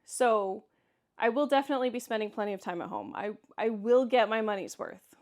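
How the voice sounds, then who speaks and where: A woman vlogger with a distinctly high voice and a Kansas accent talks casually to her viewers. She is candid and conversational, leaning on words for emphasis as she gives her opinions. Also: animated, fairly quick. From Kansas, United States. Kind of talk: casual solo vlog commentary, answering viewer comments.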